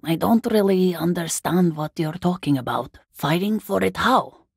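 A woman playing a weary, guarded working class wife and mother in a video game, husky, plain-spoken and exasperated. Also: fairly low pitch, normal speed, darkish tone, no echo, studio quality. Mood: weary, insistent. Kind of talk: video game character dialogue.

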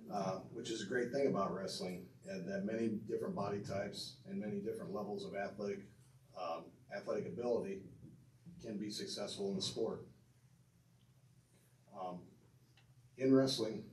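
A middle-aged man with a fairly low voice speaks earnestly from a podium, stumbling now and then as he reads his notes. He is heard from across a reverberant banquet room, with a faint hum of room noise behind him. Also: mellow, recorded from a distance. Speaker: speaker inducting his father into a hall of fame. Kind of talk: prepared tribute speech with asides to the audience. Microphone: podium mic heard from a far camera.

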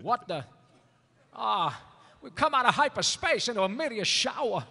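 A man's voice: high voice, very animated, normal speed, creaky, very noisy, exaggerated voice. A man voicing a cocky, cynical space smuggler, brash and sarcastic, in a comic live table read on a hall stage. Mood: urgent. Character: cocky, cynical male space smuggler as loud cartoon character. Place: large convention hall stage. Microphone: table microphone. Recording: reverberant convention hall panel.

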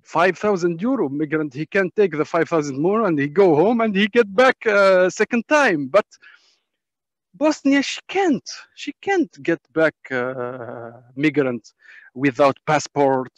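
A man who is a non-native English speaker gives informal testimony as a panel speaker, haltingly searching for words in broken English on a muffled video call. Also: a little high, animated, no echo. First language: not English. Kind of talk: informal spoken testimony in a webinar. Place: on a video call from a dim space.

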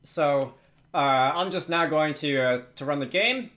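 A man's voice: a little high, measured pace, a bit bright. A man presenting a casual, unscripted screencast tutorial, hesitantly thinking aloud as he works. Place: indoors at a computer.

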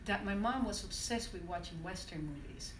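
A woman from Venezuela, French her first language, gives a reflective talk at a standing mic in a university classroom. She often pauses and restarts her sentences. Her voice is fairly low and sounds roomy and distant, caught from the back of the class. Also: expressive, background noise. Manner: reflective, with many restarts and hesitations. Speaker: conference speaker. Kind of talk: personal talk to an audience. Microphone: standing mic, recorded by a phone from the audience. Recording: echoey room from far off.

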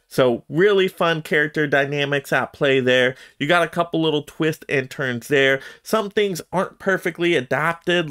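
A man's voice: on the high side, a bit bright, clean tone. A man in his thirties hosting an enthusiastic, emphatic countdown movie review to camera in a room at home. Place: small room at home lined with shelves of collectibles, indoors.

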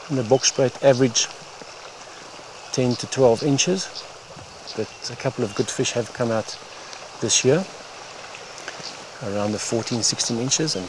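Man, fishing guide, instructional commentary, halting unhurried phrasing, mid pitch, noisy outdoor stream recording. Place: outdoors on a wooded stream bank. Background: running water, outdoor ambience.

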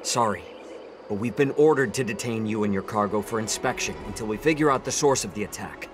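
A man's voice: on the low side, a little fast, over music, natural voice. A man playing a firm, by-the-book guard in a video game, speaking in an official manner.

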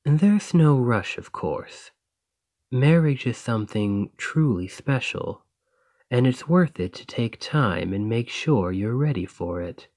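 A man playing a devoted, gentle boyfriend in a romantic ASMR roleplay, soft and husky, with a tender, reassuring manner. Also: mid-range voice, animated, slow pace, dry recording. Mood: loving, earnest.

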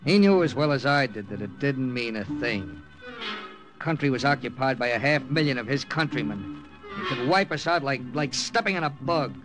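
A man's voice: medium pitch, lively intonation, normal speed, close to an everyday voice, low-quality recording. A man acting a wary, distrustful American captain and secret agent in an old radio war drama, with clipped hard-boiled delivery. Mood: tense, grim narration. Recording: old radio broadcast with loud music.

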